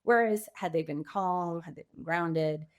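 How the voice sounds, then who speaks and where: A woman's voice: on the low side, measured pace. A woman answering as a guest coach in a podcast interview, speaking informally and emphatically, often acting out quoted thoughts.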